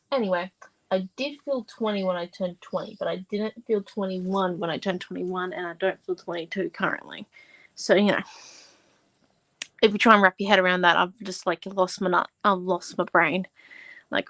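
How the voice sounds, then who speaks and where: A young woman in her twenties leads a live craft class from home. She talks in a chatty, rambling way, full of asides and fillers. Her voice sits at an ordinary pitch and sounds close and dry, with no room echo. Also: normal speed, fairly bright tone. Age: 20s. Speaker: host of a live art class. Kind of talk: casual livestream craft tutorial.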